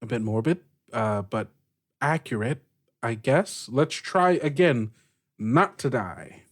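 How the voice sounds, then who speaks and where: A man on a tabletop role-play podcast, improvising in character as a leader in measured, tentative phrases. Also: mid-range voice, animated.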